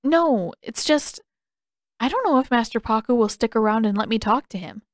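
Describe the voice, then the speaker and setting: An eager young boy from a storybook, earnest and chatty, voiced by a woman narrator. The voice is high and recorded dry. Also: animated, normal speed, dry recording, natural voice. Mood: hesitant, dejected. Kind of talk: character dialogue in audiobook narration.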